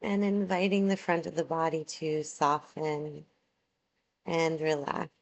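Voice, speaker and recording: A woman guiding a yoga relaxation meditation, giving soothing, measured instructions with long pauses between phrases. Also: low voice, level tone, gravelly, dull audio.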